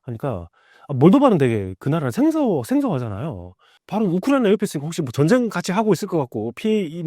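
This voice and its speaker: A man's voice: on the high side, mellow, no echo, clean studio sound. A middle-aged man speaking as an expert guest, explaining in a conversational, emphatic way with rhetorical questions. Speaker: guest expert, professor of American culture. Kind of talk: explanatory interview talk.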